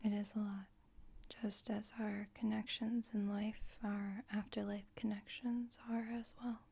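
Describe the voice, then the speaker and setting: A woman playing a calm, formal, caring vampire coven leader in a whispered ASMR roleplay, speaking in a gentle, measured, breathy whisper. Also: medium pitch. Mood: calm, instructive.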